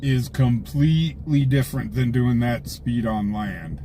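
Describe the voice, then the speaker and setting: Clear vehicle noise surrounds an American man talking live from his car. His voice sits at a middling pitch and he takes his time. He chats casually with his viewers and rambles through anecdotes. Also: measured pace. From United States. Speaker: livestream host, scanner-chasing reporter. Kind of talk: casual chat with livestream viewers. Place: inside a car, live on patrol. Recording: raw livestream, loud vehicle noise.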